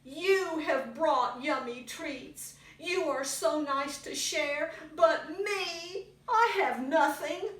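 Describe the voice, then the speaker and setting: A woman voicing a humble, sighing male bear in a storybook, speaking slowly and plaintively. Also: high-pitched, fairly bright tone, roomy sound, far from the mic, voice pushed up. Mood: sad.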